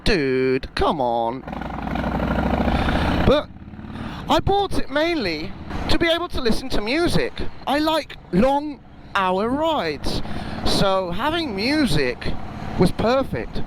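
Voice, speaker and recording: Male vlogger, casual and emphatic, lively swooping intonation, noticeable background noise in the recording. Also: extremely high pitch, slow, long pauses. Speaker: motorcycle vlogger. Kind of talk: casual opinion vlog to camera.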